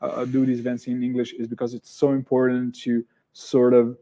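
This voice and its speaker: A man with a Serbian accent hosting an online interview, speaking haltingly with many fillers and restarts. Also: medium pitch, monotone, mellow. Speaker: event host.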